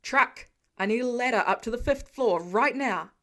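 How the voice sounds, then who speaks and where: A woman voicing a gruff, commanding male fire chief in an audiobook story, barking curt orders. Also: on the high side, moderate pace, pushed and bright. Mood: urgent, commanding.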